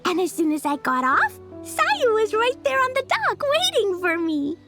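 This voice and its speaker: A woman's voice: very high voice, huge pitch swings, moderate pace, music in the background, heavily acted. A woman voicing a cheerful, eager little girl who chatters in a chirpy, childlike way in a video game.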